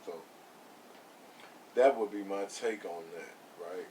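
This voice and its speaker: A man talking as a guest on a casual podcast, measured and hesitant, repeating words as he works out his thought. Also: deep voice, warm tone, slight background noise. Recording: voice from away from the mic.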